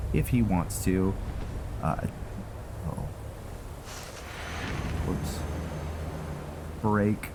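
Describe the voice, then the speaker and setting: A man's voice: low voice, noisy background. A man giving casual roleplay game commentary, musing with dry jokes and trailing asides. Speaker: gaming video host. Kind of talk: casual roleplay gameplay commentary, sometimes reading in-game text aloud. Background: game music.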